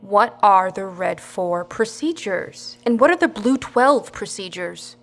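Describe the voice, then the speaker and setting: A woman's voice: medium pitch, expressive, slow. An actress playing a handcuffed former military woman who speaks bluntly and presses hard for answers. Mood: demanding. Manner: quiet then blunt and demanding. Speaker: captive, former soldier.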